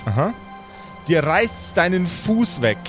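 A man's voice: on the high side, very animated, takes it slowly, music in the background. A man, casually improvising narration as game master of a tabletop role-playing game.

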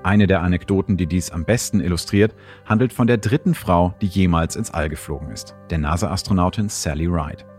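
A man's voice: low-pitched, a little fast, few pauses, darkish tone. A man reading scripted documentary narration as the host. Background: background music.

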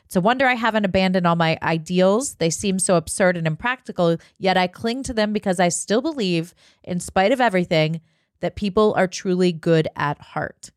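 A woman from Ohio with an American accent, hosting a conversational discussion in an articulate, measured way. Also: on the low side, dry recording, studio quality. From Ohio, United States. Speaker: podcast host.

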